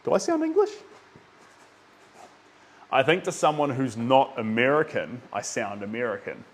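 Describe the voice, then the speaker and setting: A man's voice: mid-range voice, very animated, normal speed. A young man from New Zealand with a Kiwi accent, chatting casually with viewers while he paints, rambling with lots of ums. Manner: chatty, rambling with many ums, reads out chat names.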